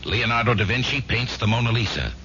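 A man's voice: medium pitch, animated, fairly quick, sharp tone, a little hiss or hum. A man announcing a scripted 1970s radio commercial. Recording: muffled radio broadcast with music.

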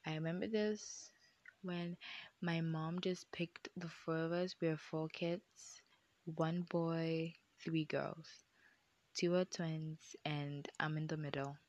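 A young woman with a deep voice, telling viewers a personal story in a chatty way, often calling them guys and dropping into whispered asides. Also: fairly even intonation, takes it slowly, a little mellow, dry recording. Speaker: vlogger.

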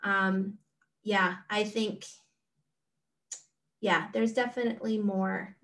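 A woman co-hosting a podcast, talking gently and haltingly with many fillers. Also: medium pitch, steady tone. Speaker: podcast co-host. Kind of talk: casual conversation. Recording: distant from the mic.

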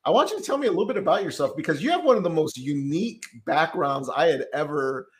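A man's voice: fairly high pitch, lively intonation, fast talker. Chatty man hosting with many ums. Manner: filler-heavy, rambling, frequent restarts. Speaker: podcast host, tax debt consultant. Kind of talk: casual podcast interview.

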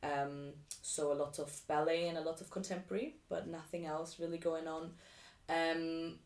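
Low woman, echoey room. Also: level tone, moderate pace, a little mellow. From Vienna, Austria. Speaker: interview guest, dancer. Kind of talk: casual chat, telling personal experiences. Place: living room.

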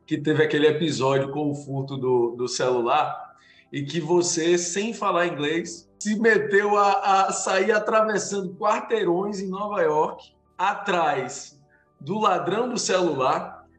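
Man, fairly high voice, hesitant chat, roomy echo, faint music. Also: unhurried, a bit bright. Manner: hesitant, repeats words as he starts. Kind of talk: casual conversation.

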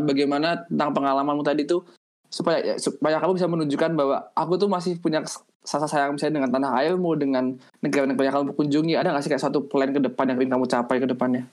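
A man interviewing a guest casually, asking long rambling questions and nodding along with short replies. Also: a little high.

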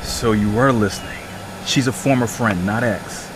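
A man's voice: fairly low pitch, normal speed, lightly acted. A man acting a brash visiting inspector in a sci-fi horror podcast, talking over vehicle noise. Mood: smug, warning. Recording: loud vehicle engine noise.

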